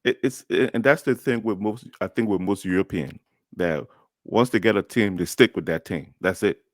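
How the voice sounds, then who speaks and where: A man's voice: lowish voice, moderate pace, no echo, clean studio sound. A man from Liberia hosting a podcast interview, chatty and friendly, laughing easily as he asks questions. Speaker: host.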